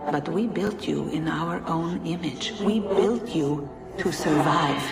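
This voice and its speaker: A woman voicing an ancient godlike being in a game cutscene, speaking in solemn, measured, formal oration. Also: on the low side. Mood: solemn, mournful. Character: ancient godlike female being of a dying precursor race, solemn. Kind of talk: dramatic game cutscene monologue. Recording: echoey, distant voice under loud music.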